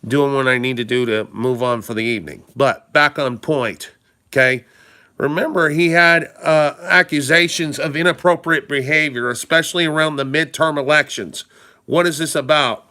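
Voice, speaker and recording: A man hosting a live stream, hoarse and stuffed up from a cold, talking casually in a rambling way and greeting viewers by name. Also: a little high, steady tone, normal speed, pushed and bright. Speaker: livestream host.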